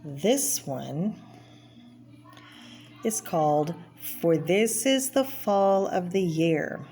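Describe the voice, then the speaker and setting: A woman's voice: on the low side, lively intonation, extremely slow, warm tone. A woman reading a children's book aloud in a plain, explanatory way, as if to young listeners. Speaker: reader of a children's art book. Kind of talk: read-aloud narration with brief personal asides. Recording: clear background noise, homemade read-aloud video.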